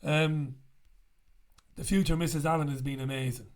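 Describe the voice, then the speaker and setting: A man in his forties, croaky after an illness, chatting bluntly and casually to camera as a show host in a small room with a studio mic. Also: fairly high pitch, steady tone, moderate pace. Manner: chatty, blunt, swears freely. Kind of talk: casual direct-to-camera chat. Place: small bright room indoors. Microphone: studio mic on stand in front.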